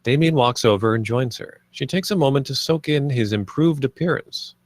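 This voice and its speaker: A man's voice: mid-range voice, moderate pace, dry recording. A man reading stage directions as the narrator of a film script read. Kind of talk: scripted narration, present tense.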